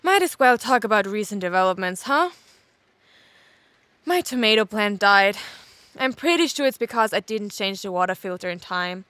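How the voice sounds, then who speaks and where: An actress playing a scatterbrained, sarcastic investigator who rambles and interrupts herself in an audio drama log. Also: female voice, medium pitch, animated, moderate pace, fairly bright tone, dry recording, close to an everyday voice. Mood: scattered, musing.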